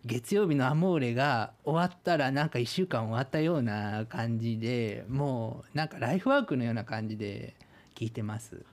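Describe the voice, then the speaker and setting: Mid-pitched, reserved male radio guest. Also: lively intonation, normal speed. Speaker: listener guest on a radio show. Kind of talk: casual chat.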